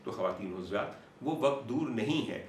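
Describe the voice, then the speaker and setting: A Pakistani-accented man presenting an explanatory monologue to viewers as host, in a deliberate, dramatic manner with repetition for emphasis. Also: medium pitch, expressive. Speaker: host and doctor presenting a talk show. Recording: roomy, distant mic with faint noise.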